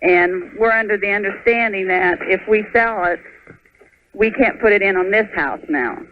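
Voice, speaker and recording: A woman's voice: medium pitch, normal speed. American woman caller on noisy phone line. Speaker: caller to radio advice show. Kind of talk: casual phone question.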